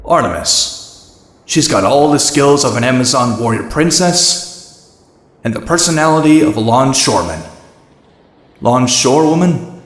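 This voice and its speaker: An actor playing a wisecracking, cynical young vigilante antihero in an animated comic, narrating himself in a dry, sardonic manner. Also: male voice, mid-range voice, measured pace, pauses often, close to an everyday voice. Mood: wry. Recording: roomy, echoing sound.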